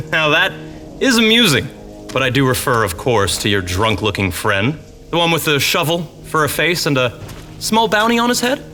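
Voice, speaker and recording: Menacing bandit leader, mockingly courteous, sharp lively delivery, fantasy audio drama, faint music behind. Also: male voice, fairly high pitch, normal speed. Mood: gloating. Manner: mocking, theatrically courteous, chuckling. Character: smug, menacing male bandit boss, mockingly polite.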